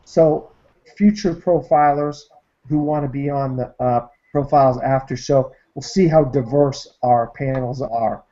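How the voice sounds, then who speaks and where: A man's voice: medium pitch, unhurried, warm tone. A man from Massachusetts hosting a movie podcast panel, talking enthusiastically with many ums and uhs over dull online call audio. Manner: enthusiastic, filler-heavy. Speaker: podcast host. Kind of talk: casual panel show wrap-up.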